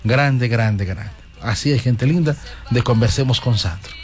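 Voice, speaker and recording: A man's voice: mid-range voice, measured pace, faint music behind. A man hosting a talk show, telling a fable to his audience and greeting them effusively. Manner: effusive, repeats "gente linda" often. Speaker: host.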